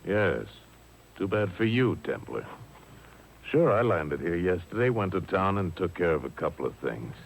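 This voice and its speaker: A cold, cynical killer from a 1950s radio thriller, with a very low voice. He speaks curtly and with cool menace, on an old broadcast with faint hiss. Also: big pitch swings, normal speed, rough recording. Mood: menacing. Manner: curt, cool, threatening. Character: menacing, cynical male pilot turned cold-blooded killer. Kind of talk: 1950s radio villain acting.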